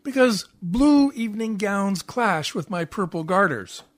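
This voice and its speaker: A man's voice: high-pitched, animated, measured pace. A man playing a grumpy, sarcastic, impatient husband in a 1940s-style radio comedy. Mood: dry, impatient.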